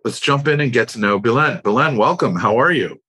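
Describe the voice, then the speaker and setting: A man's voice: mid-range voice, expressive, fairly quick, bright, forceful tone, slightly rough. A man hosting a podcast, interviewing in an informal, encouraging, conversational manner. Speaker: podcast host.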